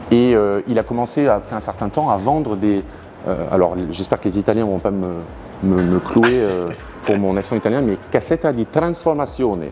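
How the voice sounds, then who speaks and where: A man's voice: lowish voice, mellow. A man chatting casually as he explains things like a garage owner, often hesitating and searching for his words. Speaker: garage owner showing customers' cars. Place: large workshop hangar, indoors. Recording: clear background noise in a garage.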